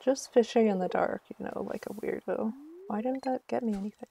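A woman's voice: medium pitch, brisk, creaky, warm tone, breathy edge. A woman chatting casually on a live game stream, thinking aloud in a self-deprecating way.

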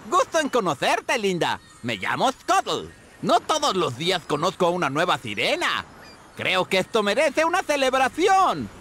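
A muddled, friendly seagull in a video game. His voice is bright and swoops wildly as he chatters exuberantly, with faint noise behind. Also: high voice, exaggerated voice. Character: scatterbrained, friendly male seagull treasure hoarder. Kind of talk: animated game character acting.

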